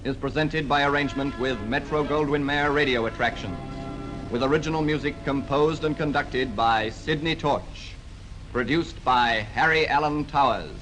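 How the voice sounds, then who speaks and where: Man, mid-pitched, formal old-time radio announcing, prominent orchestral music behind. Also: normal speed, poor audio. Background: orchestral theme music.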